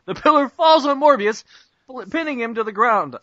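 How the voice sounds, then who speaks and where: A man's voice: very high-pitched, animated, moderate pace, bright, forceful tone, no echo. A young man giving an amateur mock-dramatic narration of a cartoon parody, hammy and stumbling over words, on a muffled internet call. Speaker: narrator in a group script reading.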